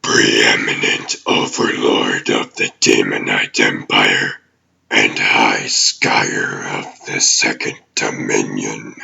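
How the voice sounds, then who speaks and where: An ancient demon overlord from a comic dub, extremely low and heavily gravelled. He speaks with grand, formal menace, very slowly and deliberately, as an imperious ruler would. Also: flat delivery, sharp tone, lo-fi sound, heavily acted. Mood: grandly courteous, condescending. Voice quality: gravelly, growling. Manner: pompous, deliberate, formal archaic phrasing. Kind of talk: theatrical villain acting in a comic dub.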